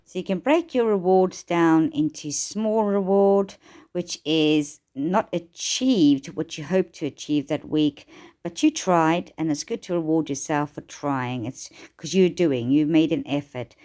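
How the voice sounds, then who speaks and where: A woman coaching viewers in a chatty, encouraging way, her voice a bit hoarse from a cold. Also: deep voice, mellow. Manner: chatty, encouraging, repeats and restarts. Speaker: life coach. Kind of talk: casual self-help talk to camera.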